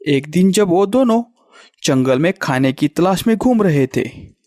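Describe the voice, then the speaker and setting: A man's voice: fairly high pitch, lively intonation, a little slow, very noisy. A man narrating a children's bird story in a scripted storytelling style.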